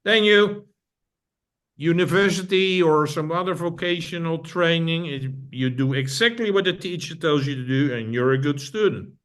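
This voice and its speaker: A man's voice: a little high, normal speed, fairly bright tone. A middle-aged man from the Netherlands with a Dutch accent, speaking as an interview guest in a thoughtful, repetitive way with frequent restarts. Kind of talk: casual interview talk.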